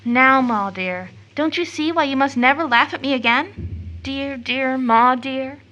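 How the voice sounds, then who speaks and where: An actress playing an earnest, devout girl who longs for motherhood, fervent and halting in a stage-play audio drama. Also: high voice, pushed and bright, highs cut off. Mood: troubled, pleading. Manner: fervent, emotionally heightened, halting.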